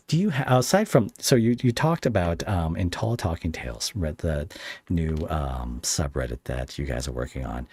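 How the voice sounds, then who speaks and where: A man's voice: a bass voice, darkish tone, breathy edge, no echo. A man hosting a podcast in a casual interview style, talking in a hesitant, rambling way. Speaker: podcast host.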